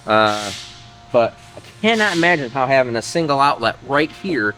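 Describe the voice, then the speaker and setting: Male workshop host, slightly low voice, casual and rambling, thinks aloud, music behind. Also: sing-song, moderate pace, sharp tone, poor audio. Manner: rambling, thinking aloud, often trailing off mid-sentence. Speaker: host of an engine-building video channel. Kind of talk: casual shop talk to viewers. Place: engine workshop.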